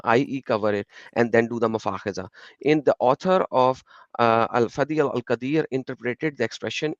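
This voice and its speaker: A man whose first language is Urdu speaks in an online discussion, reading texts aloud and glossing each term in turn. His voice sits at an ordinary middle pitch. It comes through a video call with dull, lo-fi, dry sound. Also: no echo. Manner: explanatory, reads passages aloud and glosses terms. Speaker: guest panelist.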